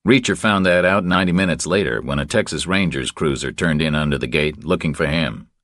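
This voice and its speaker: A man narrating a crime thriller audiobook in a measured, terse storytelling manner. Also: extremely low pitch, fairly bright tone, dry recording, studio quality.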